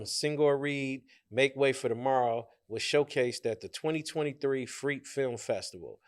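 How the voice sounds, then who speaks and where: A man hosting a podcast, reading a scripted introduction and then asking relaxed interview questions. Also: medium pitch. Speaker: podcast host.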